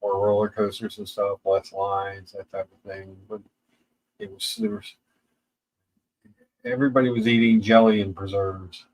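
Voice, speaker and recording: A man's voice: fairly low pitch, moderate pace, long pauses, a little mellow, low-quality recording. Easygoing middle-aged man reading listener questions. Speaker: co-host. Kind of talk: casual chat.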